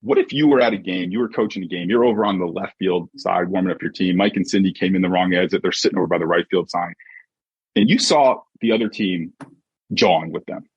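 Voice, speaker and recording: A husky-voiced man giving an emphatic, conversational motivational talk as a coach and podcast guest, often checking in with short rhetorical questions. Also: low voice, quick pace, no echo. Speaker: podcast guest, sports mindset coach and author. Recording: dull, muffled lo-fi audio.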